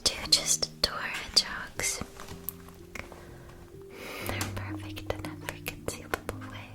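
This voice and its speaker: Woman playing a whispering gentle witch shopkeeper. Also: a little slow, lightly acted. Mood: gentle, soothing. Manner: soft, soothing, attentive. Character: gentle female witch-school shopkeeper presenting familiars. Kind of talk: whispered ASMR roleplay.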